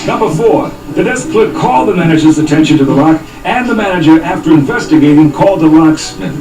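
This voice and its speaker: An actor playing an earnest police detective who reads out numbered facts like an official report, in a parody of old police radio drama. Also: male voice, fairly high pitch, expressive, fairly quick, distant mic, close to an everyday voice. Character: earnest, eager police detective reporting to his senior partner. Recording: roomy cassette copy with music behind.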